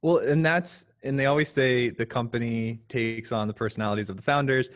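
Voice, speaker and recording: A man's voice: mid-range voice, a little fast. A man hosting a podcast interview, asking questions in a casual, enthusiastic, conversational way. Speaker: podcast host.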